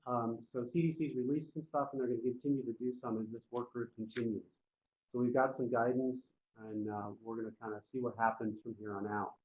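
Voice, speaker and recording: A man's voice: medium pitch, little pitch movement, a little fast, highs cut off. Plain-spoken man briefing over faint noise. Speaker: public health presenter. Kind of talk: informal explanatory briefing on a conference call.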